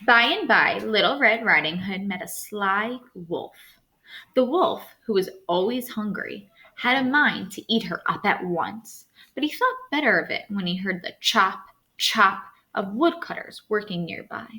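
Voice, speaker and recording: A woman teacher reading a storybook aloud to students in an expressive, instructive way. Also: mid-range voice, measured pace.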